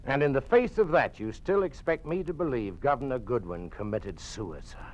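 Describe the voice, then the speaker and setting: A man's voice: medium pitch, expressive, normal speed, a little mellow, lightly acted. A man playing a defiant, suspicious doctor in a police state, blunt and challenging, in an old-time radio drama. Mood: alarmed, disbelieving. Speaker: actor. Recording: dull, lo-fi old radio recording.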